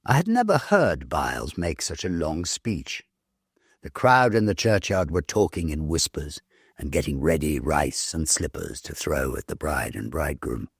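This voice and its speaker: A man reading a ghost story as dramatic narration, theatrical, measured and full of suspense. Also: rumbling low, sing-song, slightly rough, no room sound, studio quality.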